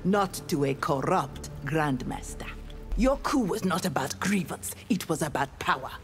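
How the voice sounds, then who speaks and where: A woman's voice: deep voice, animated, unhurried, faint music behind. A woman with a Nigerian accent voicing a proud, righteous young ninja warrior in a fighting game, formal and defiant. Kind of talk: video game fight dialogue.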